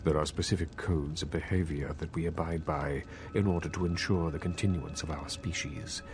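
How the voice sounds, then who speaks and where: An actor playing a courteous, scholarly vampire regent in a video game, speaking formally with measured, old-fashioned politeness. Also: male voice, rumbling low, fairly even intonation.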